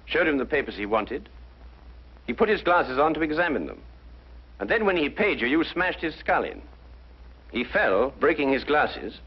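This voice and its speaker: Composed vintage detective, muffled recording. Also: male voice, on the high side, breathy edge. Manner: precise, deliberate, interrogating. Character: brilliant, composed male detective, methodical and courteous. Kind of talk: 1950s detective drama acting. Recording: muffled 1950s broadcast, music underneath.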